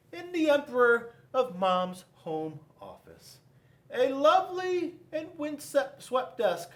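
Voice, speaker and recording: A man reading a children's storybook aloud in an animated way, with dramatic pauses and sudden emphasis. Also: very high voice. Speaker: police school resource officer reading to children. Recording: echoey room.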